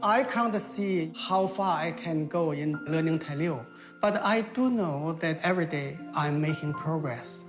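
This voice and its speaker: A man in his sixties with a Mandarin Chinese accent, his voice sitting somewhat high. He talks in a halting, reflective way, pausing often and reaching for words. Quiet music runs underneath. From China. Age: 60s. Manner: halting, with many pauses and fillers. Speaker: interviewee. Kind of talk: reflective interview answers.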